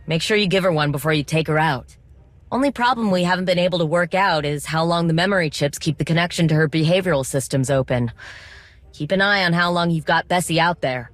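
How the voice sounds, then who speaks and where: An actress playing a determined, wry droid mechanic in a video game, speaking informally with coaxing, teasing encouragement. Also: female voice, low-pitched, no room sound, natural voice.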